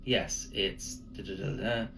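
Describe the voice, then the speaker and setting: A man's voice: low-pitched, steady tone, takes it slowly, gravelly, a bit bright, recorded from a distance, faint music behind, exaggerated voice, rough recording. A man voicing a puzzled, persistent husband calling customer service in a spooky story, earnest and insistent.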